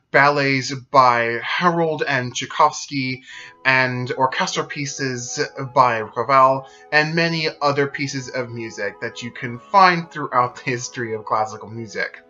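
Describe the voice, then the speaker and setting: Male presenter, slightly high voice, steady scripted narration, soft music under it. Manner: steady explanatory retelling. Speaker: video presenter.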